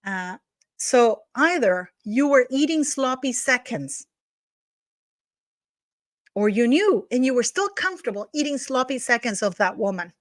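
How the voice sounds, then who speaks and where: A woman with a non-native English accent, pitched a little high, gossips and scolds in a casual rant. The sound is dry and close, with no room echo around her voice. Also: lively intonation, measured pace, long pauses. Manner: scornful, gossipy, emphatic, drops curse words. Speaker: YouTube commentator. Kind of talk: casual ranting commentary. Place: at home.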